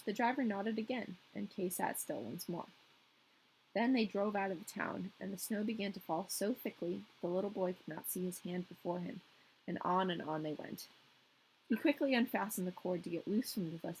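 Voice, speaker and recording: A woman's voice: medium pitch, gravelly, a little hiss or hum, low-quality recording. A woman reading a fairy tale as a storyteller, informal and playful with her listener. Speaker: storyteller, podcast host. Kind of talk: scripted fairy tale narration with casual asides.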